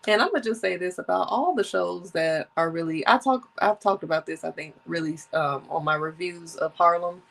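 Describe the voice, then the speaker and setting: Chatty woman in her thirties, gravelly edge. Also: fairly low pitch, normal speed, hardly any pauses. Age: 30s. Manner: chatty, animated, laughs often, sips drinks. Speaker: podcast host. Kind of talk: casual solo podcast chat.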